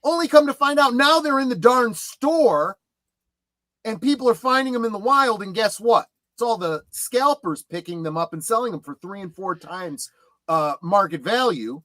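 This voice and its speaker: A man's voice: high-pitched, lively intonation, moderate pace, a bit bright, no room sound. Middle-aged man chatting, dry close sound. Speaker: show panelist. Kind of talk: casual chat about collectibles.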